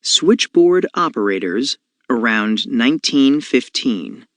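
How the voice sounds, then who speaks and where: A man's voice: medium pitch, sing-song, slow pace, a bit bright, no room sound, studio quality. A man reading short educational facts aloud as a narrator. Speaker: reader of captions and timeline.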